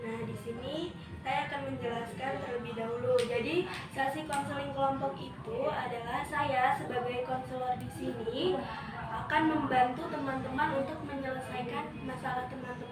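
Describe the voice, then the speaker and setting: A woman's voice: high-pitched, fairly even intonation, moderate pace. A young woman leading a semi-formal group session as a student counselor. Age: young adult. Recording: distant, echoey room with loud noise.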